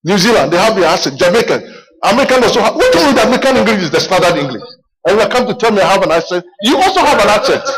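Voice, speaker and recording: A middle-aged Ghanaian man preaches in a high voice, spinning personal stories into his sermon. He talks animatedly, doubles back on his words and calls out "Amen" to his listeners. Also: low-quality recording. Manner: animated storytelling, repeats himself, calls "Amen".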